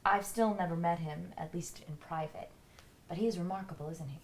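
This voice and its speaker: A woman's voice: on the low side, fairly quick, close to an everyday voice. A woman reading the part of a composed, polite android spokesperson in a drama script. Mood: composed, friendly. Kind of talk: table read of a drama script. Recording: faint background noise.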